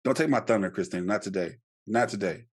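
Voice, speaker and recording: A man co-hosting a podcast in casual chat, playful and expressive, his voice congested from allergies. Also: fairly quick, breathy edge. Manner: playful, expressive, jumps into high mock outrage. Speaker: podcast co-host.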